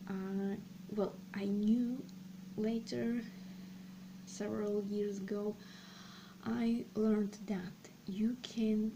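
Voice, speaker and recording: Slow, halting young woman vlogger. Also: mid-range voice. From Serbia. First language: Slovak and Serbian. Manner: slow, halting, thinking aloud with many pauses. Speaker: language channel host. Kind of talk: casual personal vlog talk. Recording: clear background noise.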